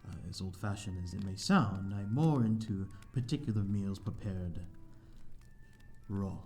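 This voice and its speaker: Man playing a deep, mellow, courteous vampire. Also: a little slow, faint music behind. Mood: modest, bashful. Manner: hesitant, polite, formal old-fashioned phrasing. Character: courteous, lonely old-fashioned male vampire living in a manor. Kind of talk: slow ASMR audio roleplay, addressing the listener.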